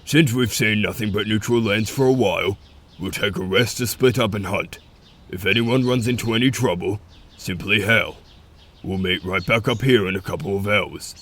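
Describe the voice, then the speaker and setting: A man playing a solemn, middle-aged wolf-pack leader and battle veteran in a scripted fantasy drama, speaking with measured authority. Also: fairly low pitch, fairly bright tone. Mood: steady, commanding.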